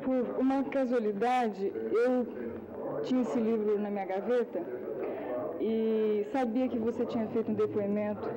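A woman reporter asking an interview question in an earnest, deliberate way. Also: on the high side, fairly even intonation, highs cut off, a crowd in the background.

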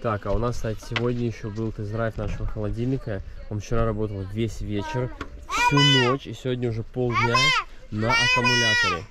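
A man's voice: on the low side, fairly even intonation, fairly quick. A man in his thirties chatting informally and in slang as he narrates a family travel vlog on a handheld camera. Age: 30s. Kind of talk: casual vlog narration while filming. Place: outdoors at a campsite, in a car, in a mall. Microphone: handheld camera at arm's length. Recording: noisy outdoor and in-car handheld recording. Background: ambience, vehicle and outdoor noise.

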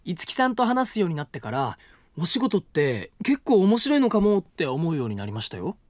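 An actor in his twenties playing a gentle, polite male university student who lives next door, speaking in a calm, lightly teasing way. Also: fairly high pitch, brisk, no echo, studio quality. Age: 20s. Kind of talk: voice drama acting. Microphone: close studio mic.

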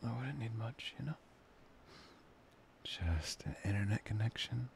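A man performing an intimate boyfriend ASMR roleplay, murmuring softly and tenderly, breathy and often dropping to a whisper. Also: on the low side, animated, slight background noise. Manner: soft, tender, murmuring with long pauses.

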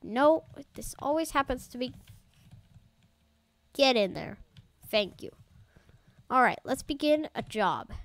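Woman let's-play host, relaxed slow delivery, chatty and rambling, slightly off voice from allergies. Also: high voice, dry recording. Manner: chatty, rambling, talks to viewers as "guys". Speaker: gaming YouTuber. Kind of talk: casual let's-play commentary.

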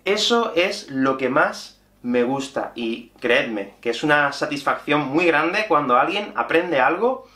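A man, teaching in an animated online lesson. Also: a little high, slow pace. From Spain. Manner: animated, gestures constantly while explaining. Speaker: Spanish teacher. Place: small room with bookshelf and whiteboard. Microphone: camera at mid-shot distance. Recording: echoey small room.

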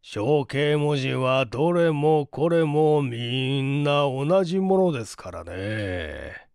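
Man reading as a slow-spoken, sheepish gentleman. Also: medium pitch, animated, creaky, clean tone, no room sound, clean studio sound. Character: mild, sheepish gentleman, father of a grown daughter. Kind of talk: audiobook character reading.

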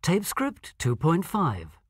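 A woman narrating coursebook audio, announcing track numbers slowly and deliberately. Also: on the low side, huge pitch swings, no echo, clean studio sound. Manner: slow, deliberate, clear announcing. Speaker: narrator reading audio scripts.

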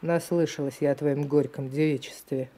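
A woman's voice: low voice, steady tone, normal speed, warm tone. An actress playing a chatty older village woman in a radio drama, folksy and emphatic, by turns scolding and motherly. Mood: knowing, confiding.

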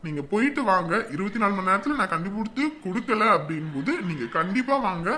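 A self-assured figure who soothes others with firm promises. His voice is bright and mid-pitched, with a faint hiss in the background. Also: animated, fast. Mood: reassuring. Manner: firm, reassuring, dismissive. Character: reassuring man, confident and brusque. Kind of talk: TV serial drama.

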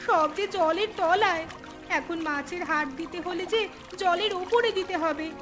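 A clever young village woman in a cartoon. Her voice is very high, bright and earnest. Clear music plays behind her. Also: measured pace. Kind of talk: cartoon voice acting.

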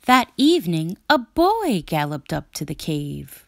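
A woman reading a children's picture book aloud as a storyteller, expressively and with deliberate, dramatic pauses. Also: fairly low pitch, big pitch swings, creaky, no room sound, clean studio sound.

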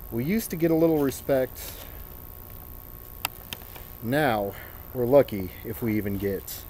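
A man in his thirties performs a mock-dramatic reading, theatrical and deliberate, with drawn-out phrases and pauses, recorded outdoors on a camera. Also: medium pitch, dark tone, a little hiss or hum. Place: outdoors in a snowy residential yard.

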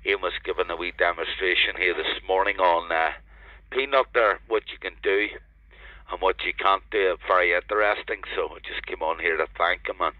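A man's voice: low-pitched, moderate pace, dry recording. An older man with a Northern Irish accent chatting casually as an amateur radio operator. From Northern Ireland. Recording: dull lo-fi radio network transmission.

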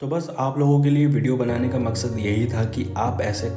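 A man's voice: medium pitch, fairly even intonation, moderate pace. A young man narrating a casual vlog, warning viewers in an earnest tone while talking into his phone. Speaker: vlogger. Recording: echoey with loud music behind.

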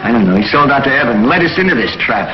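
An actor playing a brave, level-headed young space hero in a 1950s television adventure serial. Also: male voice, mid-range voice, expressive, racing, lightly acted. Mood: urgent, calm. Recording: echoey, muffled 1950s TV soundtrack, loud music.

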